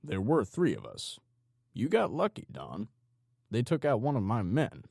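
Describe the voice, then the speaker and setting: A man voicing a calm, sinister male psychopathic gangster in an audiobook, with menacing, mock-teacherly politeness. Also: medium pitch, unhurried, gravelly, breathy edge, no echo, clean studio sound, voice pushed up, exaggerated voice. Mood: calm menace. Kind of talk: audiobook character dialogue.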